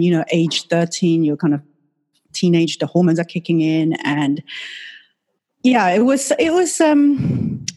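A middle-aged woman from Taiwan, a native Mandarin speaker, talking as a doctor guest on a podcast in a friendly, reflective way with fillers. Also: on the low side. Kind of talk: casual podcast interview.

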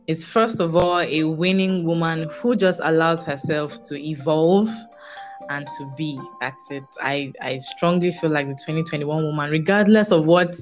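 A woman with a Nigerian accent speaking as a podcast guest, conversational and emphatic, repeating phrases for stress. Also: deep voice. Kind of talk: casual conversational interview answers. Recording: dull lo-fi audio, music behind.

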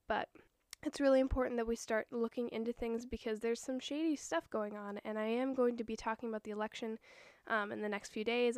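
A young woman hosting her own podcast, talking in a chatty, earnest way with a voice that is hoarse from a cold. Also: on the high side, no room sound, clean studio sound. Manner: earnest, chatty, a little nervous. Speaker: podcast host. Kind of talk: casual solo podcast talk.

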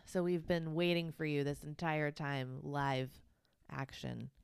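A young woman from Texas co-hosting a casual podcast, playful and animated, swinging between loud and soft. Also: low voice, slow pace, gravelly, clear, resonant tone, no echo, studio quality. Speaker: podcast co-host. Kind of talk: casual chat.

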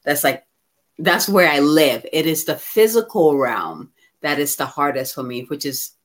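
A woman from Ghana talking candidly and reflectively about herself as a guest, in an expressive conversational way. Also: low-pitched, measured pace. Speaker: guest receiving a card reading.

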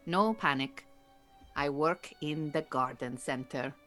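An actress playing an Iranian refugee woman who keeps bees, a dry, earnest, patient mentor with measured phrasing in an audio drama. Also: low voice, a bit bright, faint music behind. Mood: matter-of-fact. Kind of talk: naturalistic audio drama dialogue.

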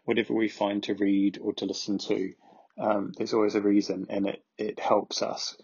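Husky New man, lo-fi sound. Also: lowish voice, flat delivery, a little mellow, no echo. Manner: earnest, encouraging, halting. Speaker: podcast host. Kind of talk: reflective podcast trailer. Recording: dull lo-fi recording.

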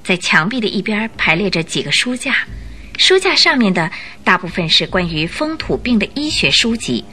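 明亮生动的女旁白. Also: female voice, mid-range voice, sing-song, moderate pace, bright, forceful tone, husky. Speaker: narrator. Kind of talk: dramatic scripted storytelling narration.

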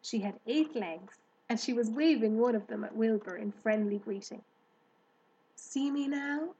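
A woman narrating a children's storybook aloud in a measured, scripted delivery. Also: fairly high pitch, dark tone, husky, rough recording. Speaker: storybook reader.

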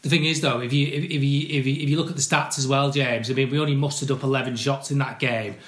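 Croaky-voiced man talking football. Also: mid-range voice, fairly even intonation, fairly bright tone. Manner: halting, many restarts. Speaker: podcast co-host.

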